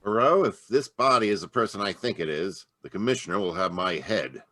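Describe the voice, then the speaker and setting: A man playing a blunt, low-voiced police inspector. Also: animated, fairly quick, bright, forceful tone, no room sound, full character voice. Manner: agitated, blunt. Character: harried middle-aged male police inspector. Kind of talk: 1940s radio acting.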